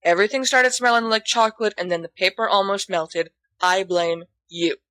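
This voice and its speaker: A woman's voice: mid-range voice, steady tone, normal speed, a bit bright, no echo, natural voice. A woman voicing a boastful, theatrical teenage schoolboy who rambles grandly in an audiobook story. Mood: dignified.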